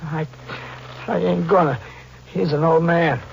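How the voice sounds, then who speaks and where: A man's voice: fairly high pitch, steady tone, measured pace, gravelly, slight background noise. An actor playing a wary, terse fugitive tough guy, hard-boiled and slangy, in 1940s radio style. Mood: uneasy. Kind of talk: 1940s radio acting with first-person narration. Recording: dull old radio recording.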